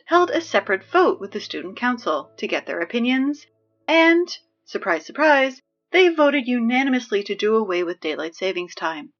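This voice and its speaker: A woman playing an exasperated, sarcastic high school announcer in a scripted comedy audio drama, dryly officious with conversational asides. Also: a bit bright, lo-fi sound.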